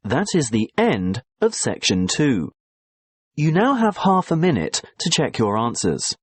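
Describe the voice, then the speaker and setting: A man reading the instructions of a recorded English listening exam in a clear, measured way. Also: medium pitch, wildly swooping pitch, no echo. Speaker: exam narrator and actor. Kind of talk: scripted recorded listening test.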